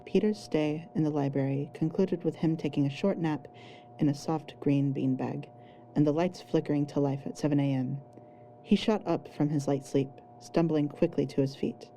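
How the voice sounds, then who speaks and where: A woman with a low, very dark and mellow voice reads scripted story narration. There is faint noise in the home recording. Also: moderate pace, poor audio. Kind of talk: scripted fan fiction narration.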